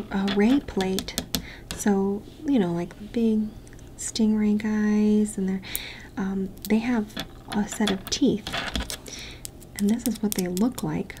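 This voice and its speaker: Woman, ASMR whisper, slow and unhurried, gravelly fry, audible background hiss. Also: mid-range voice, steady tone. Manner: whispered and soft-spoken, slow and gentle. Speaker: ASMR creator. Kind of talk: soft-spoken ASMR roleplay. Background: tapping and clicking sounds.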